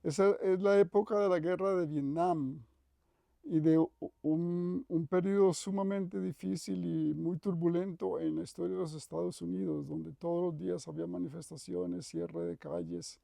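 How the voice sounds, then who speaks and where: An older man with a Costa Rican accent recalling his life in an interview, thoughtful and measured, often pausing to correct himself. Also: high-pitched, creaky, mellow, no room sound. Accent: Costa Rican Spanish. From Costa Rica. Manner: thoughtful, measured, with frequent restarts and self-corrections. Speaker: retired professor interviewed. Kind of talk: reflective interview reminiscence.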